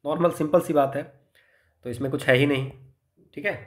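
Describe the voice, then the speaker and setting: Higher-pitched Indian man teaching maths. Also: steady tone, brisk. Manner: instructional, mixes Hindi and English, often poses questions and answers them. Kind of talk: exam problem-solving lesson, explaining step by step.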